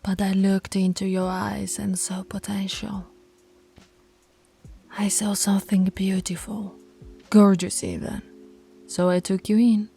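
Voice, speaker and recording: A woman voicing a dominant female alpha werewolf in an intimate roleplay, commanding yet affectionate, with a breathy, husky voice and slow, deliberate delivery. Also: mid-range voice, flat delivery, warm tone, faint music behind. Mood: earnest, tender. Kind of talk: intimate ASMR roleplay voice acting.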